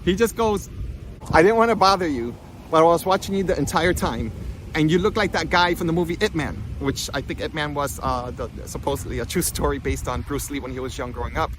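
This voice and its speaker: A man giving an informal spiritual talk with personal stories, in an animated, conversational manner. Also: on the high side, fairly quick, slight background noise, rough recording. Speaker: spiritual healing coach and vlogger.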